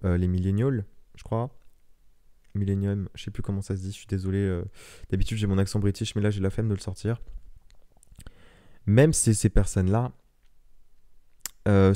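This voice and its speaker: A man's voice: fairly low pitch, a little mellow. A man in his early twenties hosting a solo podcast, talking earnestly and with passion, with frequent restarts and fillers. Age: young adult. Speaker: podcast host.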